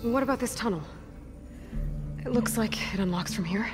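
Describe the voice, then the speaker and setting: A woman's voice: fairly high pitch, normal speed, over music, close to an everyday voice. An actress playing a practical, guarded young woman adventurer in a video game, plain and direct. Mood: matter-of-fact. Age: young adult. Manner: plain, direct, matter-of-fact. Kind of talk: video game dramatic acting.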